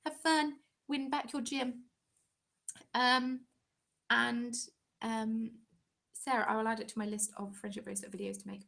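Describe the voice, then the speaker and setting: Young English woman, live-stream host, rambling casual chat, a touch high-pitched. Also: level tone, a little slow, gravelly, long pauses, a bit bright. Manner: chatty, rambling, frequent ums. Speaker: craft channel host.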